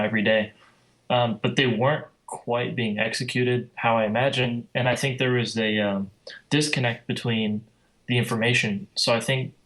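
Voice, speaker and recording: Male podcast guest, explanatory and conversational, flat intonation, mid-range voice. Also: mid-range voice, low-quality recording. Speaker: guest, air traffic controller and flight sim enthusiast. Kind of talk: podcast interview, explanatory talk.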